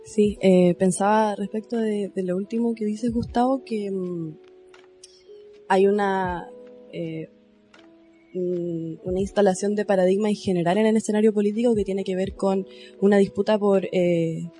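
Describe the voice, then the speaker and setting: A woman's voice: mid-range voice, steady tone, a little slow, mellow, faint music behind. A woman speaking as a panelist in an academic discussion, hesitant and searching for words with many fillers.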